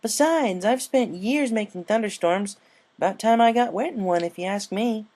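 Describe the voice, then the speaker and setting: A man's voice: high voice, normal speed, a little mellow, voice pushed up. A man voicing a carefree, cheerful young female pegasus pony in a storybook reading, with a cocky, playful manner. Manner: breezy, playful.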